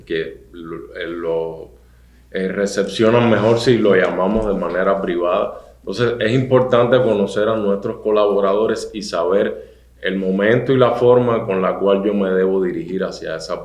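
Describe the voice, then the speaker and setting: A man, a podcast co-host speaking deliberately and thinking aloud with fillers. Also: sits low, a little mellow, reverberant. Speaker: podcast co-host, university professor. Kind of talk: panel discussion on a podcast.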